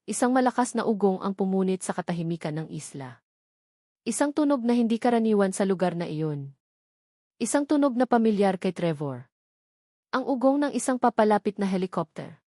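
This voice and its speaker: A woman with a Filipino accent reads a scripted romance drama as narrator, in a measured, dramatic storytelling manner with short pauses. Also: medium pitch, a little mellow, no room sound, clean studio sound.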